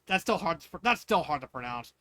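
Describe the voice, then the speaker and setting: A man reading fan fiction aloud as an amateur narrator, expressive and sometimes stumbling over his words. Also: on the high side, fast talker, no room sound. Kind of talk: amateur storybook narration with asides.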